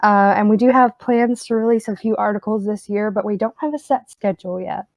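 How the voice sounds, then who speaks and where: A woman's voice: medium pitch, a little fast, a little mellow, dry recording. Casual woman answering community questions. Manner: casual, joking, with light laughs. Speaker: community staff member.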